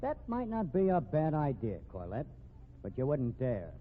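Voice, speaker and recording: An actor with an American accent playing a cocky, needling young newspaper reporter, brash and taunting, in a 1950s radio drama. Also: male voice, mid-range voice, huge pitch swings, narrow, tinny audio. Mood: boastful. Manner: brash, taunting, quick-witted. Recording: thin, noisy 1950s radio recording.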